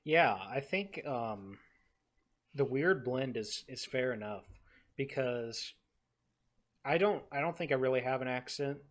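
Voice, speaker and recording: A man's voice: medium pitch, animated. Young guy from the texas panhandle, podcast cohost, stumbles and repeats words, lots of ums. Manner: halting, repeats words, many fillers. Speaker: podcast co-host. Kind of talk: casual podcast chat.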